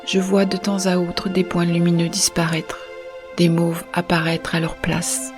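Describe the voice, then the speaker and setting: A woman playing an entranced, world-weary dreamer in an audio drama monologue, speaking in a hushed, solemn, poetic way. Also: fairly low pitch, completely flat delivery, a little slow, music in the background, close to an everyday voice. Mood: awed, hushed. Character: entranced woman drawn to an otherworldly bliss, weary of life. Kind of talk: first-person audio fiction monologue.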